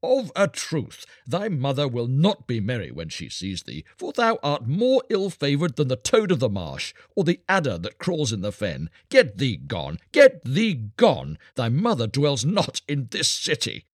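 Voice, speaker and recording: Man voicing a jeering, animated gate soldier. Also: mid-range voice, unhurried, hardly any pauses, dry recording, clean studio sound, pitched above the performer's own voice. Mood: mocking, scornful. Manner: jeering, declamatory, archaic speech.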